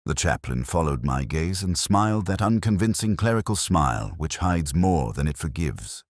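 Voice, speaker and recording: Very deep, husky man narrating a mystery. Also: big pitch swings, no room sound, clean studio sound. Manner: measured, literary, brooding. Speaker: narrator of a detective story. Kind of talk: first-person scripted mystery narration.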